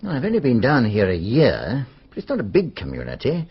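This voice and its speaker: An actor playing an older retired British police superintendent in a radio drama, practical, informal and musing. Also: male voice, mid-range voice, wildly swooping pitch, fairly quick, husky, heavily acted. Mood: musing, helpful. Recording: slightly dull radio recording.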